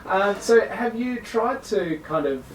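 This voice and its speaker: Chatty radio host, laughs easily. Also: male voice, high-pitched, animated, a little slow, echoey room, recorded from a distance, poor audio. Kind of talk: casual radio interview.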